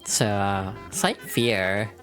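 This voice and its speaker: A VTuber streamer with a hoarse, froggy voice from allergies, chatting casually while playing a game and laughing at their own slips. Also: low-pitched, very slow, fairly bright tone, faint music behind. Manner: chatty and self-deprecating, many fillers, laughs through words.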